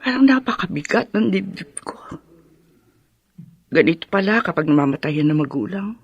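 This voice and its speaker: Radio drama role: bereaved, well-off Filipina from the countryside, weary and emotional, husky voice, dry studio sound. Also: female voice, lowish voice, expressive, normal speed, no echo, dull audio. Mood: heavy-hearted, grateful. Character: grieving, weary woman from a well-off rural family.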